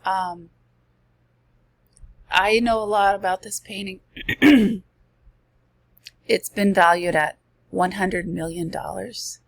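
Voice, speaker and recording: A woman's voice: medium pitch, steady tone, slow, pauses often, no echo. A woman who is losing her voice, presenting art slides in a chatty way, reading passages and adding casual asides. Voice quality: gravelly. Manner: chatty, reads passages then comments with asides.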